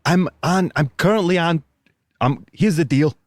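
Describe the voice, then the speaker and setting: A man playing an earnest, flustered eleven-year-old boy attorney who fakes a lower voice to sound older, in an improvised comedy podcast. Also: moderate pace, dry recording, studio quality, natural voice.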